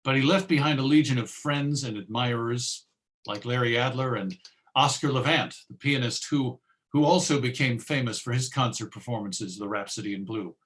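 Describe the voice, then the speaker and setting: A man giving an informal lecture as presenter, with a conversational, halting delivery. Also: mid-range voice, fairly bright tone. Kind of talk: informal audio-visual lecture.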